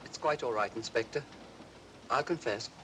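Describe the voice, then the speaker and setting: A man's voice: moderate pace, fairly bright tone, lightly acted. A man acting a meek, guilty husband, subdued and polite, in a vintage mystery film. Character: meek, guilt-ridden husband confessing to petty theft. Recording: vintage film soundtrack, faint rumble.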